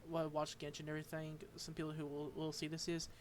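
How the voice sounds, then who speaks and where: A man streaming a game, reading its dialogue aloud and commenting, halting and stumbling over unfamiliar names. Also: on the high side, completely flat delivery, a little hiss or hum.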